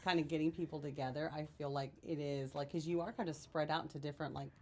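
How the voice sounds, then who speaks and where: A woman's voice: low-pitched, fairly even intonation, fast, darkish tone. A young woman hosting a podcast interview who talks casually, with a lot of "like", and stays upbeat. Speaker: podcast host. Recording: gravelly voice over a clear noisy background.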